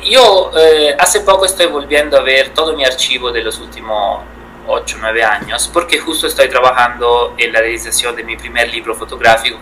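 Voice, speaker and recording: A man's voice: medium pitch, bright, forceful tone, slight background noise, rough recording. A man in his thirties from Italy, speaking Spanish with an Italian accent, answering interview questions thoughtfully with frequent hesitations. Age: 30s. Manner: thoughtful, hesitant, many fillers and restarts. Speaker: guest photographer.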